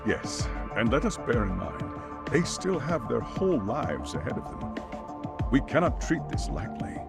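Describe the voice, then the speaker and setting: A man's voice: mid-range voice, big pitch swings, unhurried, gravelly. An actor playing a formal, careful male school chairman in a video game drama. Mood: grave, cautioning. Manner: polite, careful, formal phrasing. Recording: music behind the voice.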